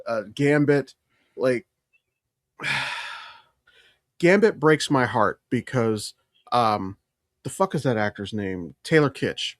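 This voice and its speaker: A man's voice: medium pitch, lively intonation, takes it slowly, pauses often, no echo, studio quality. A man co-hosting a podcast, chatting casually and giving blunt, emphatic, profanity-laced opinions on films. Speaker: podcast co-host. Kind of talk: casual opinionated film chat.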